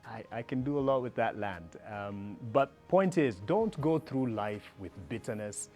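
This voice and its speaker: A Kenyan man in his thirties, a journalist sharing his life story as a guest in a relaxed, playful talk-show chat. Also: medium pitch, unhurried, faint music behind. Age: 30s. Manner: reflective storytelling, playful and joking. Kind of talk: casual chat mixing English and Sheng slang.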